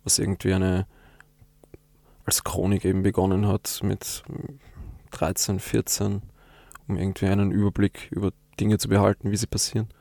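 A man's voice: sits low, monotone, normal speed, gravelly, a little mellow, no echo. A young man who is a poet, answering interview questions in a thoughtful, hesitant way with restarts. His first language is German.

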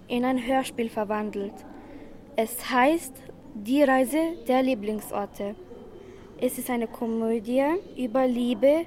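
A woman's voice: a little high, a little mellow, a little hiss or hum. A woman playing a smug, gloating famous author who declaims in repeated phrases in a radio play. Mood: proudly announcing. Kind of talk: school radio play acting. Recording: music bed behind the voice.

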